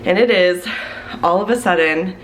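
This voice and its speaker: A woman's voice: on the low side, moderate pace, slight background noise, poor audio. Congested woman chatting through a plan-with-me. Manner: chatty, thinking aloud as she works. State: congested from the flu. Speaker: planning channel host. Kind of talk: casual plan-with-me voiceover.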